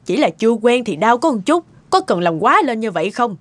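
A woman's voice: fairly high pitch, big pitch swings, a little fast, no echo. Blunt older mother-in-law, cartoon, scolding, close dry recording, faint music. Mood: indignant. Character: stern, sharp-tongued older mother-in-law, easily offended. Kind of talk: cartoon dialogue.

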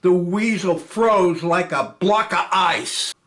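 A man's voice: high-pitched, takes it slowly, voice pushed up. A man reading a play aloud, voicing a brash, boastful young male airman who bullies and taunts. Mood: gloating. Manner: loud, boastful, laughing.

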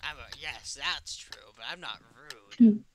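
A man's voice: a little high, very animated, measured pace. A man role-playing a smug, teasing evil puppet killer in playful, mock-innocent banter over a game. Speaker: role-play gamer.

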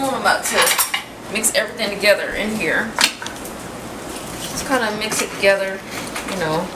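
A woman's voice: mid-range voice, heavy background noise. A young woman in her twenties talking casually through a home cooking demonstration in her kitchen, saying "you know" and "y'all" a lot. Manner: chatty, loose, says "you know" and "y'all" often. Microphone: camera mic from across the kitchen. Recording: roomy kitchen audio from a distant camera, loud music underneath.

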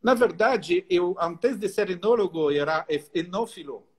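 A man's voice: sits high, expressive, a little slow. A middle-aged man from France with a French accent, a guest enologist explaining things in a friendly way, with many restarts, on a live video call from home. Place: home room, indoors. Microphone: video call on laptop.

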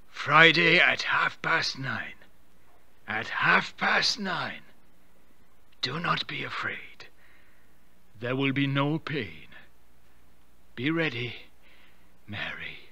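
Radio play phantom: a dead husband returned, hollow sepulchral tones, drawn-out menace. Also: male voice, mid-range voice, bright, forceful tone, no room sound, voice pushed up, exaggerated voice. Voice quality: sepulchral, breathy. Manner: slow, ominous, drawn out.

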